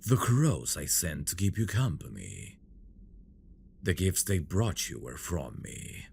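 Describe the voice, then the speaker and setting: A possessive fantasy villain, acted by a man, speaks slowly and intimately to his bride in an ASMR audio drama. His deep murmur is highly expressive, teasing and seductive, often sinking to a breathy whisper. Faint ambient noise sits behind him. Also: heavily acted. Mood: tender, longing. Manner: slow, teasing, seductive delivery.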